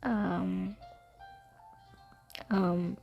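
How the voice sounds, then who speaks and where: Casting: female amateur gamer, very slow offhand commentary, gravelly fry, loud game music. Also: fairly low pitch, fairly even intonation, lo-fi sound. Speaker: gaming video creator playing along. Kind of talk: casual gameplay commentary. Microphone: amateur recording over mobile game.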